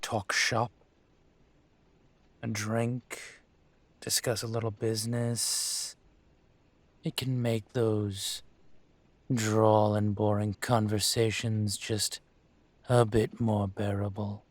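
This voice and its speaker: Man acting a slow, low-voiced dragon mafia boss. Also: level tone, no echo. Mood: suave, courteous. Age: older. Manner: smooth, deliberate, courtly with veiled menace. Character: suave, menacing, aging dragon mafia boss. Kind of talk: scripted roleplay audio drama.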